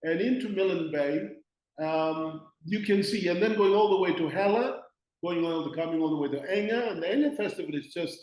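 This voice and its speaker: A man from Papua New Guinea speaking as a panelist over a video call, measured and hesitant with many fillers. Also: sits high, a little mellow, reverberant, low-quality recording.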